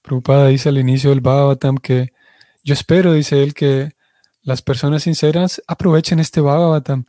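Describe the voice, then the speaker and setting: A man giving a religious class, explaining things in a measured way and often restarting his sentences as he searches for words. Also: medium pitch, fairly even intonation, dark tone, no echo, clean studio sound. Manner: explanatory, restarts and self-corrections. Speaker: devotional teacher.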